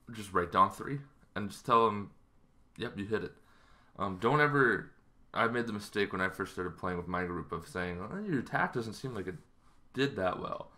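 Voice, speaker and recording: A man in his thirties giving a casual, rambling explainer to camera, halting as he goes, in a small bedroom. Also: on the low side, sharp tone. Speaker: host of a tabletop roleplaying channel.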